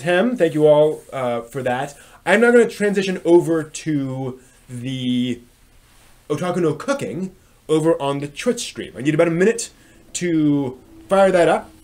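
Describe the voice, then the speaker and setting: A man with a slightly high voice hosts a casual live stream. He talks in a halting way, thinking aloud between short phrases.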